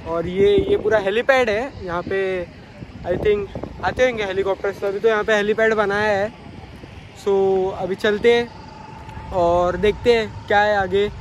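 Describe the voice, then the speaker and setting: A man's voice: high voice, fairly even intonation, measured pace, poor audio. A young man chatting casually while riding a motorbike, filling his talk with little fillers, recorded on a phone stuck to his helmet. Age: young adult. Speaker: vlogger. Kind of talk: casual moto vlog commentary. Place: outdoors on a motorbike on dusty roads, then a seaside spot. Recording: loud traffic and wind noise.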